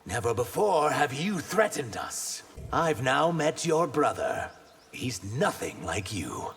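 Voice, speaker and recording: An actor playing an earnest, guarded reptilian shapeshifter warrior in human form, trading emphatic banter in a video game. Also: male voice, mid-range voice, big pitch swings, slow pace, fairly bright tone, exaggerated voice. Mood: earnest, wounded. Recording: long reverb, faint background noise.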